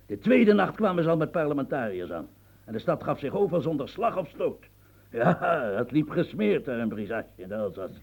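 An actor in a radio play as a grumbling old French marquis and former soldier, husky, stammering and repeating himself as he muses. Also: a little high, sing-song, moderate pace, a little mellow, heavily acted. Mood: boastful. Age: older. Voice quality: gravelly, husky. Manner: stammering, repetitive, musing, earthy, prods with "huh". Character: rambling, grumbling old French marquis, retired military officer.

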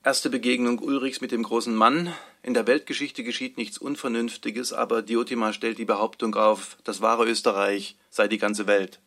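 Mid-pitched man announcing chapter headings. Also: fairly even intonation, normal speed, vocal fry, hardly any pauses, a bit bright. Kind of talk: scripted literary announcement in a radio play.

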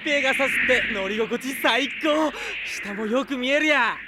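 A man's voice: very high-pitched, normal speed, heavy background noise. A man acting in a Japanese radio drama as a brash, gallant young fighter with boyish, casual speech. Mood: playful. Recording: studio drama with loud music behind.

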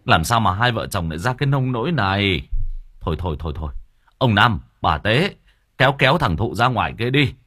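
A man's voice: fairly low pitch, sing-song, fairly bright tone. A man doing a fretful old village man. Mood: alarmed. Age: older. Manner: fretful, coaxing, drawn-out pleading. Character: older village man, fussy, kindly-seeming neighbor.

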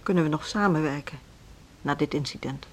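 An actress playing an anxious, gentle woman in a radio drama, with a husky voice. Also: deep voice, a little fast. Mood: anxious, subdued. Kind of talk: Dutch radio drama acting.

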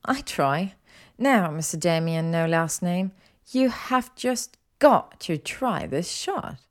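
An earnest, brainy young witch acted out by a woman narrator in an audio story. She speaks articulately and with animation, a little higher than plain narration. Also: very animated, moderate pace. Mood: playful urging.

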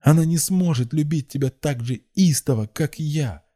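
An impassioned young girl of an audiobook tale, acted out by a man, lovesick, jealous and defiant. The pitch is pushed well up and the tone is soft-edged and dark. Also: moderate pace, lightly acted. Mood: desperate, defiant. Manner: shrill, impassioned exclamations.

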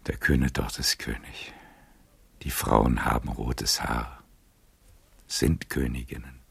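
An aged, dreamy seducer in an intimate radio play. His voice is extremely low and very husky, murmured close and dry. He lingers tenderly over his phrases, halting as he savours the story. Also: fairly even intonation, no room sound, whispered, heavily acted. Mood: wistful, dreamy. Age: older. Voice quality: husky, gravelly, breathy murmur. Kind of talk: intimate radio drama acting.

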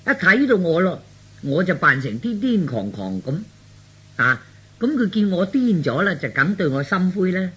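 A man's voice: sits high, very animated, moderate pace, pushed and bright, husky, heavily acted. A man playing an earnest, scheming young son in a radio play, wheedling and explaining hesitantly. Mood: eager scheming. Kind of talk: Cantonese radio play storytelling.

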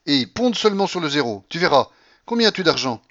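Man playing an imperious old Russian grandmother, shrill-pitched. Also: very animated, brisk, a bit bright, highs cut off. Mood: bossy. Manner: blunt, bossy, exclamatory.

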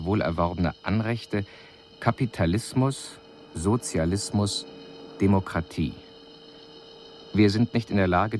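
An actor playing an earnest extraterrestrial envoy disguised as a human, giving a formal, precise report in a radio drama. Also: male voice, sits low, darkish tone, slight background noise.

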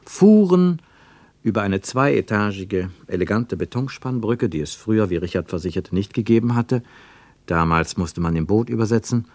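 Low, expressive male narrator. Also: no room sound. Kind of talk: scripted literary narration.

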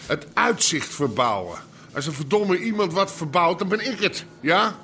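Forceful crime boss. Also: male voice, sits high, lively intonation, normal speed, slight background noise, full character voice. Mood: blustering. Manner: blunt, colloquial, swearing. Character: tough older Amsterdam crime boss, a proud father. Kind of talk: naturalistic Dutch radio drama acting.

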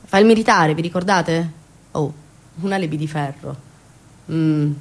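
An actress performing an impassioned theatre monologue as a bitter, indignant storyteller, sarcastic and dramatic, swinging between hushed and loud. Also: female voice, low-pitched, a little slow. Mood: ironic. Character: bitter, indignant woman storyteller recounting an injustice.

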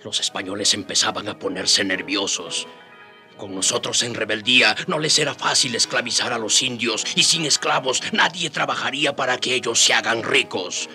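Radio drama: brave native rebel leader and narrator, very sharp forceful voice, earnest, music beneath. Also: male voice, mid-range voice, normal speed. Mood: proud, defiant.

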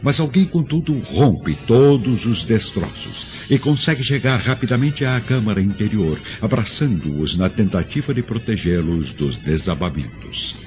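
A man narrates a dramatic radio play with measured, solemn delivery. His voice is very low. The sound is dull and lo-fi, with loud music beneath it. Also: sing-song.

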